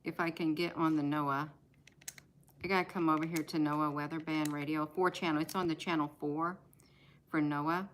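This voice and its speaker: American woman, low register, casual home vlogger, unscripted and repetitive. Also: steady tone, normal speed, a little hiss or hum. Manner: repeats words and phrases for emphasis. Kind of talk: casual rambling chat, showing gadgets. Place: indoors at home.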